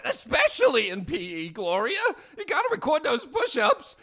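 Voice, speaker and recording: High-pitched manic principal, swooping and crackly, excitable, bossy, audio comedy. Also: normal speed, full character voice. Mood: eager pitch. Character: manic, excitable high school principal obsessed with prom sales. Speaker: school principal.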